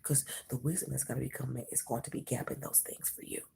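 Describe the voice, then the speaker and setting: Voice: medium pitch, little pitch movement, quick pace, gravelly, warm tone, slightly rough. A tarot reader giving an intimate, whispered reading to the viewer, emphatic and reassuring, calling the listener honey and mama. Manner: emphatic, reassuring, repeats phrases.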